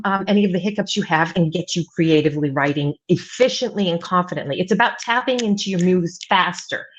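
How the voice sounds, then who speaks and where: Rambling woman with a dull lo-fi sound. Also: fairly low pitch. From United States, mostly Virginia Beach. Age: middle-aged. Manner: rambling with many fillers and restarts. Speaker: writing coach and author, guest. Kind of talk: casual interview talk.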